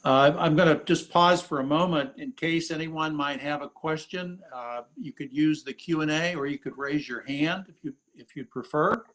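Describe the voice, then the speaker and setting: Male academic host, courteous, unhurried, a few ums, on a video call. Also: on the high side, fairly bright tone, low-quality recording. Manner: polite, measured, hesitant with fillers. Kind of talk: hosting a virtual panel.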